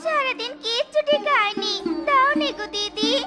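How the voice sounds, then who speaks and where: A very high, childlike-pitched beggar wife from a cartoon melodrama, played by a voice actor. She is humble and devoted, coaxing and pleading in a folksy way, with loud music behind her. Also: normal speed. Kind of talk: melodramatic cartoon story dialogue.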